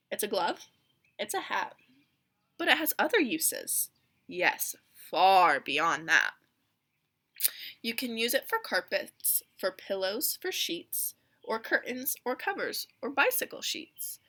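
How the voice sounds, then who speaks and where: A woman's voice: medium pitch, big pitch swings, slow pace, pushed and bright, heavily acted. Greedy eager businessman, read by a woman. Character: greedy eager male tree-chopping businessman.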